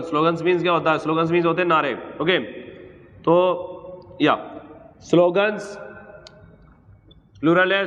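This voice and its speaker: Indian guy doing a grammar lesson, kinda high voice, lots of room echo, asks stuff then answers it himself. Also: fairly bright tone. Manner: repeats phrases, spelling things out step by step. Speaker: English grammar teacher. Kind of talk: informal bilingual grammar lesson. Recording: reverberant hall-like echo, dull lo-fi sound.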